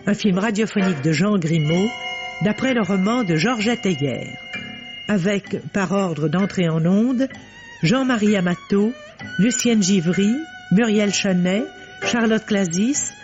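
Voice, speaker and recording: A man formally reads scripted programme announcements and credits as a radio announcer, with deliberate delivery, on a vintage recording. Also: high voice, darkish tone, highs cut off. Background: music.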